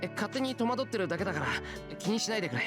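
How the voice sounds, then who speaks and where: A man's voice: sits high, big pitch swings, very fast. A Japanese man voicing an earnest, easily flustered teenage boy in a visual novel, with game music behind him.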